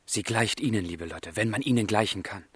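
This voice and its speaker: A brooding young romantic in a literary radio play. His lowish voice is husky and very close and dry. He speaks earnestly and emotionally, with melancholy underneath. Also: fairly quick, fairly bright tone, no room sound. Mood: tender, wistful. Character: Werther, passionate, melancholy young man in love.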